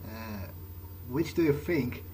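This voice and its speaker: A man's voice: mid-range voice, animated, takes it slowly, darkish tone, a little hiss or hum, rough recording. A man doing in-character video game commentary, thinking aloud in a musing, understated way. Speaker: gamer doing an in-character playthrough. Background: game music and street sounds.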